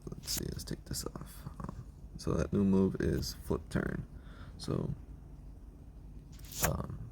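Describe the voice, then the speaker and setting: A hoarse man with a raspy voice that is giving out, giving a casual, rambling breakdown of his team with lots of ums and restarts. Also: low voice, sing-song, takes it slowly, a little mellow, a little hiss or hum. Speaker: video creator explaining his team.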